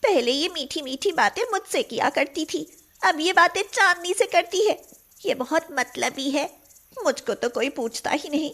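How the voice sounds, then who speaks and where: A woman's voice: high voice, lively intonation, a little slow, fairly bright tone, voice pushed up, heavily acted. A woman voicing a jealous, scheming young female parlour worker in a storybook tale. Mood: spiteful. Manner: sly, sugary when scheming. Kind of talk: storybook voice acting.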